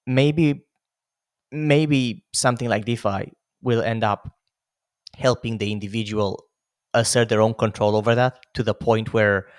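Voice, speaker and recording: Low-voiced chatty man in his thirties. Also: no echo, studio quality. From Romania. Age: 30s. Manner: chatty, lots of "like" and "you know" fillers. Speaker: podcast host. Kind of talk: casual conversation.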